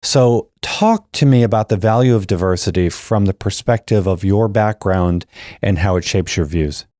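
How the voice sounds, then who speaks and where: A man hosting a podcast interview, asking steady, prepared-sounding questions. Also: lowish voice, moderate pace, no echo, clean studio sound. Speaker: podcast host.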